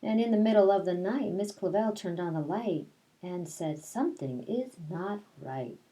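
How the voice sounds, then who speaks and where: A woman's voice: mid-range voice, animated, moderate pace, mellow, a little hiss or hum, poor audio. A woman teacher doing story time for kindergarteners, reading aloud and explaining things simply to young children. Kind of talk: picture-book read-aloud with casual asides to children.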